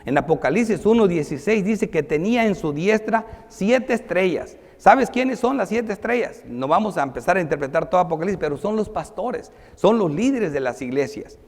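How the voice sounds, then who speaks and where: A man preaching a sermon as a pastor, reading and explaining scripture with emphatic delivery that moves between declaiming and quieter asides. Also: sits high, animated, moderate pace, few pauses. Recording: long hall reverb.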